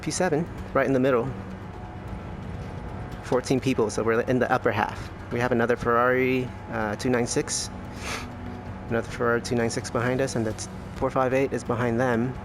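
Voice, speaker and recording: A man giving low-key, casual commentary on his sim race, thinking aloud, his voice worn from losing it. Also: flat delivery, moderate pace. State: losing his voice, clearing throat. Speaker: sim racing streamer. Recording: music and car engines behind. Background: racing game music and engine sound.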